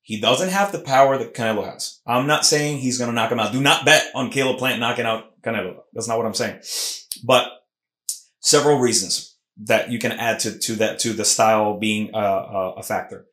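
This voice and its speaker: A man's voice: mid-range voice, moderate pace. Guy hosting a fight podcast, keeps restarting lines, roomy sounding mic. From Puerto Rican heritage. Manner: animated, emphatic, lots of restarts. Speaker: podcast host. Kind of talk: casual combat sports podcast chat. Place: Philadelphia podcast studio room. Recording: roomy echo.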